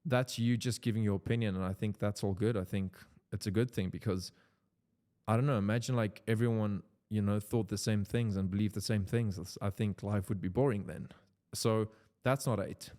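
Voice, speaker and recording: A man's voice: lowish voice, steady tone, normal speed, mellow. A young man from South Africa with a South African accent, an Afrikaans speaker talking in English in a loose, unscripted vlog full of "like" fillers. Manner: loose and rambling, many "like" fillers. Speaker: content creator. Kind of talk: unscripted casual vlog talk.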